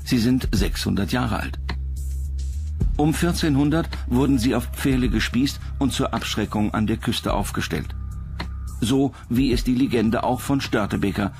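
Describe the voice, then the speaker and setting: A man's voice: medium pitch, normal speed, darkish tone. A man reading scripted documentary narration as the narrator. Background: music behind the voice.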